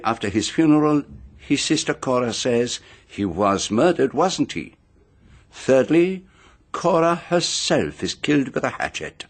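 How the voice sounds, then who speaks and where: A man's voice: medium pitch, slightly rough. An actor playing a pompous, meticulous male private detective in a radio mystery drama, speaking formally and with theatrical deliberation.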